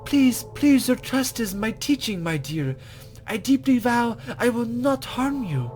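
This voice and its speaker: Man putting on a high, gentle mysterious lady. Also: sing-song, measured pace, faint music behind, exaggerated voice. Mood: earnest pleading. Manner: soothing, formal, flowery speech. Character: mysterious gentle lady guide, courteous and reassuring.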